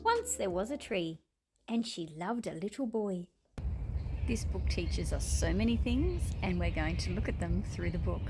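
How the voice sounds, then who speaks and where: A woman's voice: lowish voice, normal speed, over music. A woman reading a children's picture book aloud as host, expressively, with short explanatory asides. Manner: expressive, storytelling. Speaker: storybook reader and host.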